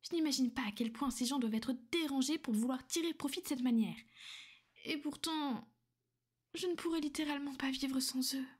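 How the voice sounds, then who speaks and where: Woman as a calm, possessive vampire lady with a high, soft voice that slips into whispers; ASMR roleplay. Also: moderate pace. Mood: calm, matter-of-fact. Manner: soft, soothing, often whispered. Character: gentle, possessive female vampire noblewoman.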